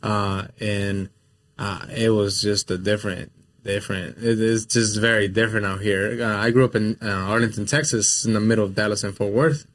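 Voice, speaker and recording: A man's voice: lowish voice, fairly even intonation, moderate pace, a little hiss or hum. A man born in Mexico, a guest on a talk show, chatting casually and rambling with many fillers. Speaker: guest, business owner. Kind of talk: casual chat.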